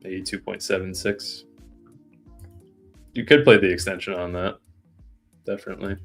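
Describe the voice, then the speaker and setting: Co-host, male, low voice, matter-of-fact trade caller. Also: fairly even intonation, moderate pace, fairly bright tone, soft background music. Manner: reads out viewer questions, calls out order levels. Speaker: trading stream co-host. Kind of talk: casual live-stream chat.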